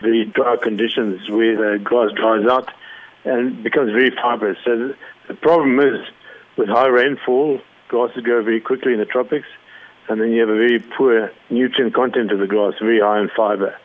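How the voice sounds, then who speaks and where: A man from Zimbabwe, a cattle rancher, explaining his work in a measured way during an interview. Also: mid-range voice, steady tone. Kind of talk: phone interview. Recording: narrow phone line.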